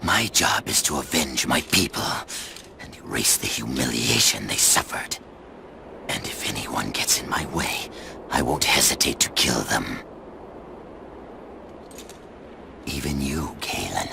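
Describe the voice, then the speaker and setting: An actor playing a hard, cynical lone warrior in a video game cutscene, terse and menacing with a gravelly voice. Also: male voice, extremely low pitch, lively intonation, unhurried, sharp tone, exaggerated voice. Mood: cold, threatening. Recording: noisy game cutscene audio.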